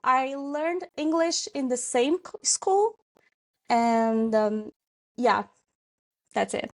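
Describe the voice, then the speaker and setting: A woman's voice: high-pitched, animated. A woman, a French teacher answering interview questions thoughtfully with frequent ums. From Brazil. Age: 20s. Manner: unhurried, thoughtful, many ums.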